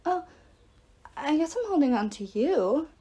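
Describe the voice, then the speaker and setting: A woman's voice: high-pitched, very animated, a little slow, dark tone, voice pushed up. A woman voicing a wounded, dazed young male fallen god in an audiobook, whiny, muttering and rambling wearily. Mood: weary, whiny.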